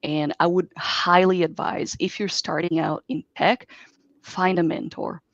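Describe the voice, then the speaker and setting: A woman from Brazil, a native Portuguese speaker, speaking as a software engineer guest in an online interview and giving earnest, expansive advice. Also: fairly low pitch, flat delivery, measured pace, no room sound. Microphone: video call. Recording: dull dry video call audio.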